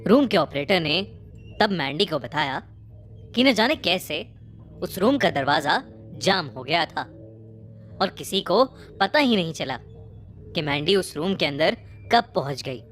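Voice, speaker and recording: A woman narrating a scripted horror story in a measured, suspenseful way, phrase by phrase. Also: on the low side, animated. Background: prominent music bed.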